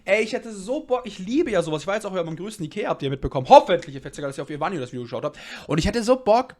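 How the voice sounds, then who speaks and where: A young man streaming a reaction video in casual German, rambling in slang and swinging between loud outbursts and mumbles. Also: sits high, big pitch swings, very fast.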